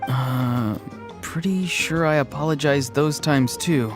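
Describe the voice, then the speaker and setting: A man's voice: on the high side, takes it slowly, over music. A man playing a sincere, sheepish young grandson and stubborn would-be hero in a dramatic video game.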